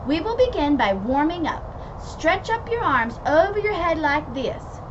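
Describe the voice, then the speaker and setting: A bossy little princess girl, put on by a woman reading aloud, bubbling with drama and emphatic pronouncements. The voice jumps high and swings widely. The sound is muffled, with a clear rumble of a vehicle behind. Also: big pitch swings, a little slow, distant mic.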